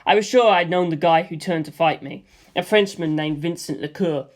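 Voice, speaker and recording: A man reading a first-person action story aloud in a lively way, voicing gunfire sound effects himself. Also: on the high side, normal speed. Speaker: audiobook reader.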